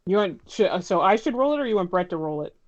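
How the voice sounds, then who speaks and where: A woman's voice: mid-range voice, sing-song, quick pace. A woman chatting casually as a player in a tabletop role-playing game. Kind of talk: casual chat. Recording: dull lo-fi microphone.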